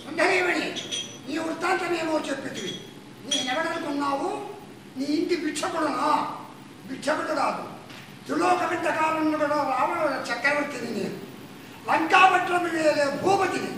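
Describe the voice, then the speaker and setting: Casting: boastful demon emperor in puppet theatre, very high declaiming voice, heard far off in heavy echo. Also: male voice, moderate pace. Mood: boastful menace. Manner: grandiose, chanting declamation. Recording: echoey, distant, noisy live stage sound.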